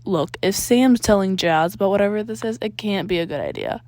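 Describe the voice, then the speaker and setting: Skeptical teen boy sidekick, voiced by a woman: flat, wary wisecracks, dry recording. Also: mid-range voice, fairly quick, dry recording, natural voice. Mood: flat, firm. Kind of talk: acted fan fiction dialogue.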